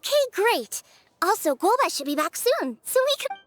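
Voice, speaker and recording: A woman's voice: very high voice, very animated, moderate pace, fairly bright tone, heavily acted. A woman playing an eager, friendly teenage girl chef in a video game, speaking in a girlish, enthusiastic way. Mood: cheerful. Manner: enthusiastic, earnest. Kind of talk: video game character acting. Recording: music playing behind the voice.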